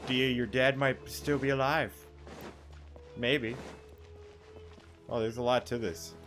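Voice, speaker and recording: A man's voice: medium pitch, steady tone, slow, fairly bright tone, soft background music. A man doing casual, crude gameplay commentary with sarcastic asides. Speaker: gaming streamer.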